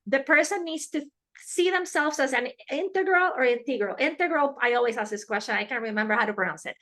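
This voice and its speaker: A woman with a Spanish accent teaches a webinar over a video call. Her voice sits a little high and sounds bright and clear. She talks earnestly and repeats key words to drive them home. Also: fast talker. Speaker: webinar presenter.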